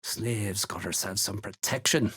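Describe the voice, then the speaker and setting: A menacing slaver from a fantasy game, speaks slowly in a low, husky voice. He is curt and full of contempt, every line edged with threat. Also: sharp tone, heavily acted. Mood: scornful. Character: gruff, menacing slaver serving a dark cult. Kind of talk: video game character dialogue.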